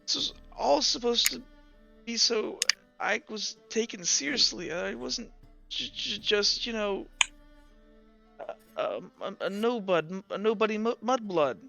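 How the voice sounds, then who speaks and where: A man voicing a tearful young man who feels betrayed, halting and stammering in a tabletop game. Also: sits high, expressive, vocal fry, muffled recording, music in the background, pitched above the performer's own voice, exaggerated voice. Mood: wounded, disbelieving.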